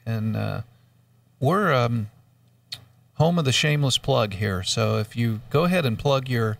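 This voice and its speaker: A man co-hosting a talk show who chats in a relaxed, joking way with many fillers. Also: medium pitch, a little slow, creaky, no room sound, distant mic. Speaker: radio show co-host. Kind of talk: casual talk show chat.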